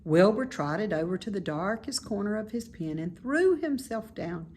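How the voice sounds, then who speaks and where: A woman narrates a children's book in an expressive read-aloud style. Her medium-pitched voice moves slowly and rises and falls widely, over some background noise. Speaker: storybook reader.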